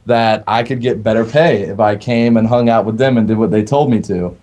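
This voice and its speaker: A man's voice: on the low side, a little fast, darkish tone. A man chatting casually as an interview guest, telling tall stories in a mock-serious way. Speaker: band roadie and tech, interview guest. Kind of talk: casual joking chat.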